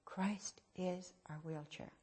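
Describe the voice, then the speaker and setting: A woman with a low voice preaching an informal, earnest sermon, swinging from loud to soft. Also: steady tone, slow pace, husky.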